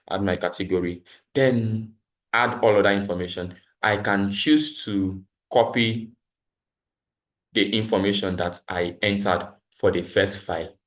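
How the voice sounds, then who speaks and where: A man facilitating a live online training, explaining steps instructively and repeating his points. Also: deep voice. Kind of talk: live tutorial with screen demonstration. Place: online video call, voice over screen share.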